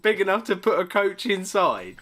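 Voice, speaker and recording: A man's voice: high voice, sing-song, normal speed, pushed and bright, slight background noise. A man co-hosting a comedy podcast with casual, teasing and incredulous banter, often laughing. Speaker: podcast co-host.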